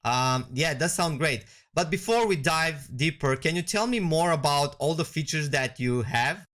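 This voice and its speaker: A man giving a conversational software walkthrough as a product demonstrator. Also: on the high side, lively intonation, moderate pace.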